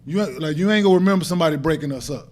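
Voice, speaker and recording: A man's voice: a little high, very fast, a little mellow. A middle-aged man, a podcast guest, talking in blunt casual slang and stuttering through restarts. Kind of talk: casual chat. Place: podcast studio.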